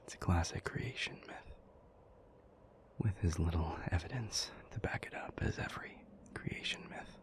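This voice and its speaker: A man playing an ancient, somber, thoughtful demon in an intimate audio roleplay, telling old myths in a breathy, hushed, halting voice with long pauses. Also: low-pitched, natural voice. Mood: solemn storytelling. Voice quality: breathy, whispery.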